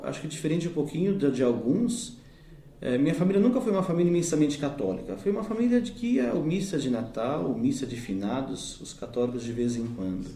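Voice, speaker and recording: A man's voice: mid-range voice, warm tone, echoey room. A Brazilian man in his thirties, a deacon, giving an earnest, reflective personal faith testimony. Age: 30s.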